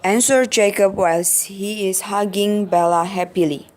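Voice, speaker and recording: A woman's voice: mid-range voice, steady tone, measured pace, darkish tone. A woman narrating an amateur radio play from a script.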